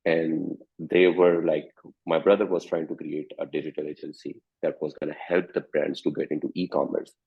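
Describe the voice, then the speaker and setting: Man in his thirties, founder from Pakistan, husky deep voice, thoughtful panel storyteller. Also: flat delivery, moderate pace. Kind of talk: panel discussion. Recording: phone-like lo-fi audio.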